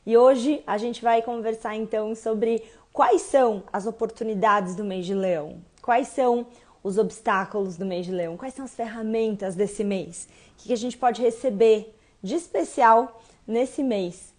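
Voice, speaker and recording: Hoarse woman hosting a livestream. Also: on the high side, a little slow. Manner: warm teaching, explains step by step. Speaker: host of a kabbalah livestream. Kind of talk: casual livestream talk.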